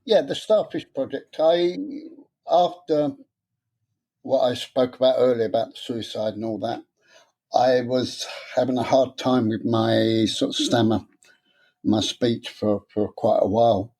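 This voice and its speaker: A man's voice: medium pitch, fairly even intonation, unhurried, mellow. A man, a guest in an interview, speaking casually with a stammer, often repeating words and hesitating.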